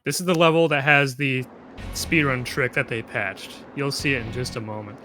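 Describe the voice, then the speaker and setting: A man with a mid-pitched voice casually explains his speedrun live as he plays. Game music and effects are clearly audible behind him. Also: steady tone, normal speed. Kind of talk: casual live gameplay commentary. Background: game music and sound effects.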